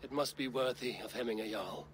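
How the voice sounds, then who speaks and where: A steady Viking warrior and trusted counsellor, acted by a man for a video game. He speaks in measured, formal phrases at a middling pitch, with faint ambient sound behind him. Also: slight background noise, lightly acted. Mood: calm, serious. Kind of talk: video game dramatic acting.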